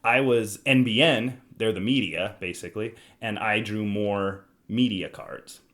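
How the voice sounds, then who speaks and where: A man's voice: lowish voice, measured pace, a bit bright. A man hosting a podcast, casually talking through board game reviews. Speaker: podcast host.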